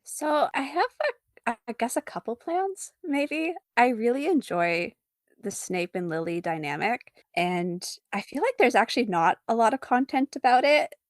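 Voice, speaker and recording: A woman's voice: on the high side, very animated, moderate pace, no echo, clean studio sound. A woman speaking casually as an interview guest, often laughing as she talks. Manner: giggly, laughs often while talking. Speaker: interview guest, artist and fan fiction author.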